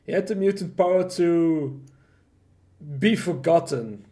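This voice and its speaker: A slow-talking person with a mid-pitched voice in a casual group call. The delivery is halting, pausing between short phrases as they chip in. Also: dark tone. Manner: halting, interjecting with pop-culture comparisons.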